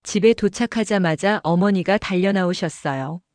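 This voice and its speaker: A woman narrating an audio drama in the first person, in a calm storytelling manner, recorded close. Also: on the low side, moderate pace, no echo.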